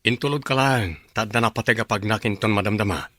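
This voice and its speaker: A man's voice: fairly low pitch, fairly quick, bright, forceful tone, dry recording, studio quality. A man with a Filipino accent playing a polite, apologetic young boyfriend in a radio drama. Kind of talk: Ilocano radio drama acting.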